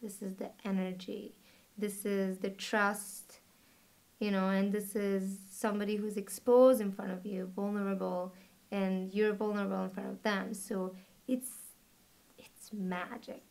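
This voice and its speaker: A woman from Bosnia speaks in a level, even voice with little rise and fall. She talks softly and reflectively, pausing and hesitating as she gathers her thoughts in a documentary interview. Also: mid-range voice, creaky. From Bosnia and Herzegovina. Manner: soft, reflective, hesitant with pauses. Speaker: body paint artist.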